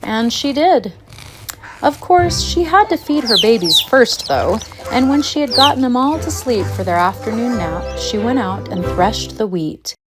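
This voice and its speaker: A woman narrating a scripted children's fairytale with an expressive storybook delivery. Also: a little high, unhurried. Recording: music behind the narration. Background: music.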